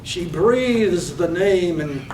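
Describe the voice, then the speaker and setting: A storyteller, played by a man, narrates a poetic play in measured phrases. The voice is high for a man and slow. It sounds distant, with room echo. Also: lively intonation, dark tone, full character voice. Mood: lyrical, measured. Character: lyrical storyteller of a small village's morning. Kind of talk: poetic scripted narration, read on stage. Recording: echoey room, distant mic, faint noise.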